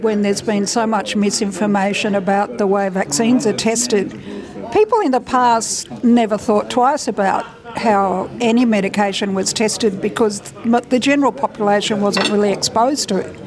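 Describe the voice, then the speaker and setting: A woman, a doctor, giving measured, explanatory answers in a conversational interview. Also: mid-range voice, crowd noise. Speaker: doctor and guest speaker being interviewed.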